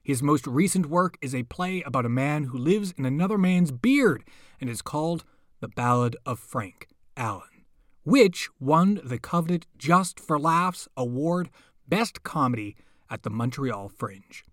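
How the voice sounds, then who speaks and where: A man's voice: fairly high pitch, expressive, a little slow, no echo, studio quality. A man hosting a podcast, reading a scripted introduction. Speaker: podcast host.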